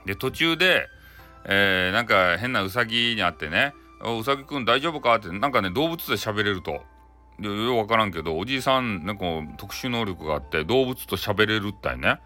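Animated Hakata-accented male storyteller, low voice. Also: fairly quick, faint music behind. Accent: Hakata, Japan. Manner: colloquial, chatty. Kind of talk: casual improvised bedtime folktale narration.

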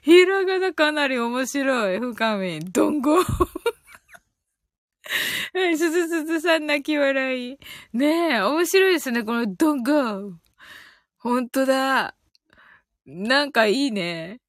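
A woman's voice: high voice, expressive, slow, long pauses, no echo, clean studio sound. A woman hosting a casual live audio stream, chatting playfully with listeners, giggly and often repeating phrases with amusement. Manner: giggly, breaks into frequent laughter.

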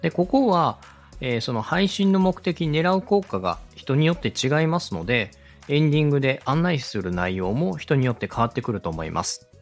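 A man's voice: a little high, big pitch swings, a little fast, soft background music. A man giving a polite, step-by-step explanatory talk as a podcast host and instructor. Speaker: podcast host and radio director teaching podcast making.

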